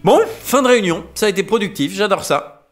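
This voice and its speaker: A man performs a pushy start-up boss in an audio drama, all corporate buzzwords and clipped, brash lines. His pitch swoops around with lively energy. Faint music plays underneath. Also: brisk. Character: pushy male start-up boss, jargon-spouting. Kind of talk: amateur audio drama acting.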